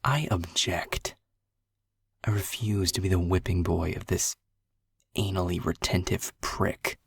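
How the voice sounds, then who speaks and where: A man voicing a resentful, defiant character in an audio roleplay, with a gravelly, husky voice and a petulant, sneering delivery. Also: deep voice, fairly even intonation, measured pace, dry recording, studio quality, full character voice. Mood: petulant, bitter. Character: resentful, defiant former-vampire human man.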